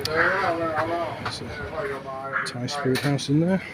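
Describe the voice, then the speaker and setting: A man's voice: on the high side, fairly even intonation, extremely slow. A man vlogging as he walks through the city and a park, out of breath and rambling in a chatty way with lots of fillers. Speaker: travel vlogger. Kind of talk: casual walking commentary. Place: outdoors on city streets and a park walkway. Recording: outdoor mic with traffic noise. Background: traffic and city ambience.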